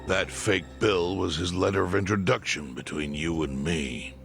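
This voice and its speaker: A very deep-voiced grave informant. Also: male voice, creaky, loud music behind the voice. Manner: measured, explanatory.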